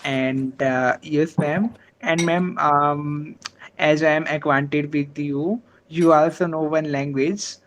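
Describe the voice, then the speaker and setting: Slow, hesitant man on a video call. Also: a little high, fairly even intonation, rough recording. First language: Hindi. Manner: hesitant, many fillers, polite. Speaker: meeting organizer. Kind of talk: online meeting discussion.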